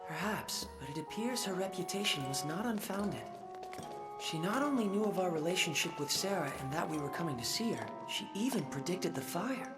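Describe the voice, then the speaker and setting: A man's voice: sits high, expressive, moderate pace. An actor playing a composed, analytical young male companion in a video game, speaking formally and precisely. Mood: calm, analytical. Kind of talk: video game cutscene acting. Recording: distant, under loud game music.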